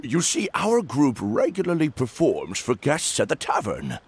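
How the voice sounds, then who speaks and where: A man playing an excitable, demanding frog bandleader in a video game, with theatrical, exclamatory delivery. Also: mid-range voice, a little slow, a little hiss or hum. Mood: earnest, theatrical. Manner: theatrical, exclamatory, dramatic swings.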